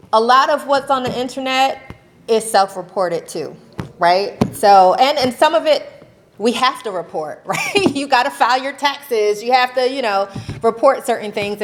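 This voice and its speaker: Animated woman speaking on a panel. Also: medium pitch, normal speed, noisy background. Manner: animated, laughs often, ends points with "right?". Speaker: faith-based advocacy panelist. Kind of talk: conversational panel talk. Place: convention session room.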